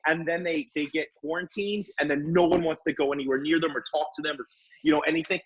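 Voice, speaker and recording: A man's voice: fairly high pitch, fairly even intonation, quick pace, slightly rough. A man talking casually as a podcast guest, using informal, bro-ish slang. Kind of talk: casual panel chat. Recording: slightly noisy live call, clicks.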